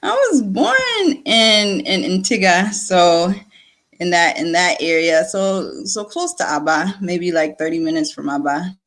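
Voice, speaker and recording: Late 30s woman, nigeria-born, grew up in connecticut, lowish voice, chatty, repeats stuff a lot. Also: measured pace. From born in Nigeria, raised in Connecticut. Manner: lively storytelling, repeats words, laughs often. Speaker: guest. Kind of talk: casual conversational interview.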